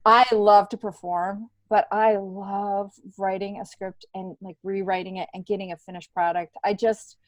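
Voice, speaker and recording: A middle-aged woman talking casually and candidly as an interview guest, telling stories in an animated way and acting out bits of dialogue. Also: medium pitch, normal speed, few pauses, dry recording, rough recording. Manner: animated storytelling, acts out remembered dialogue. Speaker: comedian and TV worker, podcast guest.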